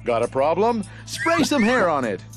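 Casting: theatrical mad-scientist pitchman, high, swooping, grandiose and scornful, game cartoon, music behind. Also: huge pitch swings. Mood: scornful pitching. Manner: grandiose, drawn-out showman delivery. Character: pompous mad-scientist villain hawking gadgets, scornful and theatrical. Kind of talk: parody infomercial pitch in game cutscene.

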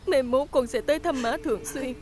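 An actress playing a devoted, emotional grown daughter who pleads tearfully. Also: female voice, high-pitched, brisk, lightly acted. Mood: pleading. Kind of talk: stage drama acting. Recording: music behind the voice.

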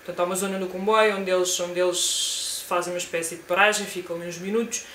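A woman's voice: lowish voice, fairly even intonation, fast, fairly bright tone, echoey room, a little hiss or hum. A young woman with a European Portuguese accent, chatting casually through a book review at home, with frequent fillers and restarts. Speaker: book vlogger. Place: home room with bookshelves. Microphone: desk camera at close range.

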